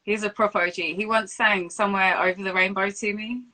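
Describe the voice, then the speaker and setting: Woman, British accent, interviewer, bright crisp-edged tone, casual chat, average pitch. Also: fairly even intonation, brisk. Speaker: music interviewer, host.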